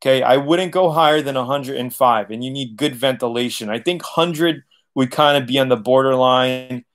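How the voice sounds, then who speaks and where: A man's voice: medium pitch, moderate pace, fairly bright tone. Chatty man in his thirties, webcam livestream. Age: 30s. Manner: loose, chatty, laughs often, swears casually. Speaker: auto body channel host. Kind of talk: casual livestream Q&A reading chat. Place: living room, seated on leather couch.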